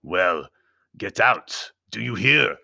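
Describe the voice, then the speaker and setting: A man voicing a flippant, unflappable gentleman adventurer in a storybook, speaking with breezy, mock-polite sarcasm. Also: mid-range voice, huge pitch swings, normal speed, creaky, dry recording, studio quality, voice pushed up, heavily acted. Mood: indignant.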